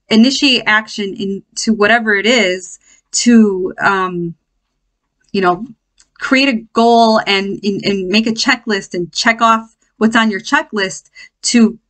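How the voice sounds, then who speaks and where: A woman hosting an informal spiritual self-help lesson, speaking off the cuff in a halting, conversational way and checking in with listeners. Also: mid-range voice, a bit bright.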